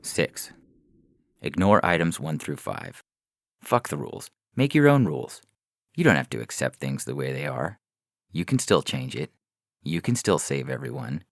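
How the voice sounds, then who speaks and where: Very deep, gravelly male story reader. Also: very animated, moderate pace, no room sound, studio quality. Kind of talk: literary short story narration. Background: faint background music.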